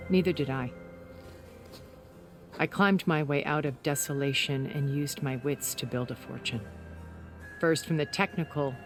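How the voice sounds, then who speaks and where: An actress playing a composed, secretive, cultured woman in a video game, speaking in a measured, articulate, deliberate way. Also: sits low, natural voice. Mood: somber, reflective. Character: composed, secretive, cultured older woman, former intelligence broker. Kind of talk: video game cutscene dramatic acting.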